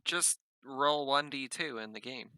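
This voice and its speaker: A man's voice: medium pitch, unhurried, sharp tone, dry recording. A man playing a tabletop roleplaying game, chatting casually and speaking bluntly with swearing, sometimes in character.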